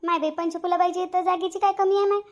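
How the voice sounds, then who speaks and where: A woman's voice: very high-pitched, little pitch movement, fast talker. A woman with a Varhadi accent from Vidarbha, acting out chatty village women's dialogue for a cartoon story in colloquial, exclamatory speech.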